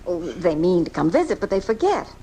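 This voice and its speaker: An actress playing a gentle, worried mother comforting her son in a 1980s radio drama, taped off the air. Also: lowish voice, sing-song, a little fast, slightly rough. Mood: gently explaining. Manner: soothing, sympathetic. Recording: noisy amateur off-air radio tape.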